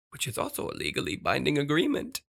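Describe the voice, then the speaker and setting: An actor playing a flamboyant, fussy, excitable radio star with emphatic, theatrical delivery in a children's audio drama. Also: medium pitch, normal speed, vocal fry, exaggerated voice. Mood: bossy, emphatic.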